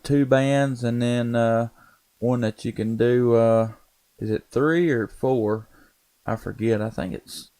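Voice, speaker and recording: Southern American male, medium pitch, resonant and clean, folksy conversational radio-operator delivery. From Tennessee, United States. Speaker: amateur radio operator and live streamer. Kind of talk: casual ham radio chat.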